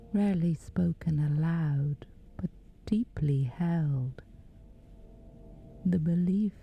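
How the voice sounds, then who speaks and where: A woman guiding a sleep meditation in a hushed, breathy near-whisper, pausing between short phrases. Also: deep voice, dull audio. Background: background music.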